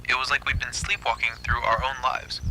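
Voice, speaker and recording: Bright, forceful male horror narrator, noisy recording. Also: medium pitch, flat delivery, a little fast. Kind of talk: scripted first-person horror narration.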